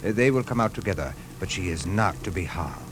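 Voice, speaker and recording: A suave, low-voiced 1950s radio villain. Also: male voice, expressive, brisk, soft background music. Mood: commanding. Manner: formal, suave, commanding. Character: menacing, courtly foreign male villain with a gun. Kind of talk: 1950s radio drama acting. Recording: noisy 1950s radio broadcast recording.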